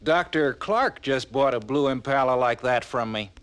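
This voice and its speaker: A man's voice: mid-range voice, normal speed, a bit bright, clear, resonant tone, close to an everyday voice. An actor playing a chummy, persuasive American car salesman in a 1950s training film. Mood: reassuring, persuasive. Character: smooth-talking, reassuring male 1950s car salesman. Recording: vintage 1950s film soundtrack.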